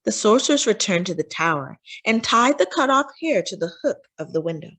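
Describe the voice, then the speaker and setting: A woman's voice: mid-range voice, big pitch swings, a little fast, fairly bright tone. A woman reading a children's storybook aloud as narrator, phrasing for young listeners with dramatic emphasis.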